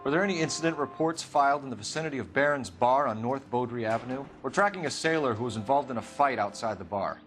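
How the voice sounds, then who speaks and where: An actor playing a stern, clipped police detective giving orders in a video game crime drama. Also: male voice, medium pitch, fast talker, hardly any pauses, fairly bright tone, close to an everyday voice. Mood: businesslike.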